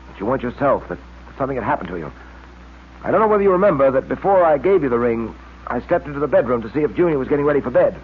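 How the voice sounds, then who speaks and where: An actor playing a calm, confident detective hero in an old radio crime serial. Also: male voice, mid-range voice, racing, husky. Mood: explanatory. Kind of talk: 1940s radio acting. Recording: tinny, faintly noisy old radio recording.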